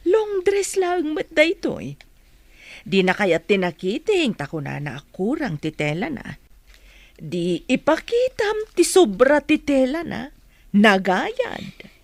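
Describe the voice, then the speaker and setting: A woman's voice: high voice, sing-song, takes it slowly, no echo, exaggerated voice. An actress playing a middle-aged, scheming mother in a radio drama, speaking in an insistent, coaxing way. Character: coaxing, scheming, defensive mother of grown daughter.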